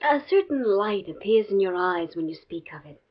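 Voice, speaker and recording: A woman's voice: mid-range voice, animated, moderate pace, lightly acted. A woman playing a young, wry and playful fiancée in 1950s radio drama style. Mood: playful, affectionate. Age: young adult. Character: teasing, forgiving young fiancée of a professor. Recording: dull, muffled old radio recording.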